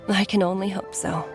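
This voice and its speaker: A woman's voice: medium pitch, close to an everyday voice. A woman playing a grave, worried mother and doctor in a video game, earnest and reflective. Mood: pleading, worried. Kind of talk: dramatic video game dialogue.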